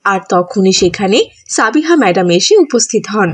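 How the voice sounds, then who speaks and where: A woman's voice: medium pitch, lively intonation, normal speed, slightly rough. A woman reading scripted narration for a children's cartoon story.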